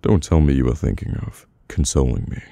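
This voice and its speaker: Very deep, gravelly British mafia boss played by a man. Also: lively intonation, mellow, no room sound, full character voice. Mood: cold, dismissive. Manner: slow, halting, weary menace. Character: brooding, domineering mafia boss, bitter and burdened. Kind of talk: intimate ASMR audio roleplay.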